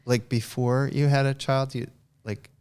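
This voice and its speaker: A man hosting a casual conversation, friendly and chatty, with a booming voice. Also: level tone, measured pace, darkish tone, smooth. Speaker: host. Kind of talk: casual interview chat.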